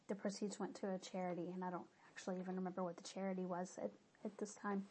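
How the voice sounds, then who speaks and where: A woman's voice: medium pitch, a little mellow. A woman with a stuffy nose giving a casual, rambling update on her knitting projects, often stopping to correct herself. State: stuffy-nosed. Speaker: knitting podcaster.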